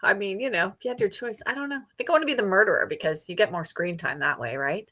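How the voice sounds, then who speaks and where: A woman's voice: medium pitch, fast talker, fairly bright tone. A woman hosting a readalong livestream, chatting casually and gushing with enthusiasm as she responds to viewers. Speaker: livestream host of a book readalong. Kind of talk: casual livestream chat, reading and answering viewer comments.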